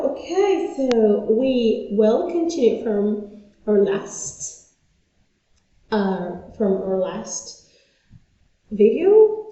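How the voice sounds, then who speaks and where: A woman teaching an English lesson, talking slowly and playfully, restarting and repeating phrases as she explains. Her voice is dark and mellow yet sits a little high. The sound is very echoey and slightly dull. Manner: chatty, self-interrupting, repeats phrases. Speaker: online English tutor.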